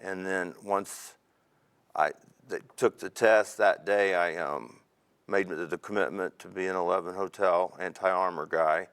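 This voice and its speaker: A man in his fifties from Indiana with a very low voice recalls his army days. He talks in a measured, reflective way and pauses often. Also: fairly even intonation, fairly bright tone, clean tone. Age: 50s. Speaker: interview guest, Army veteran. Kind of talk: oral history interview.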